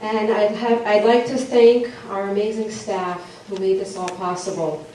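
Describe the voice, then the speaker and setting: A woman's voice: medium pitch, fairly even intonation, measured pace, warm tone. A woman giving a prepared welcome speech as the host of a ceremony. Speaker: event host, camp director. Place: large echoey hall with an audience. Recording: very echoey hall, distant mic, audience, faint noise.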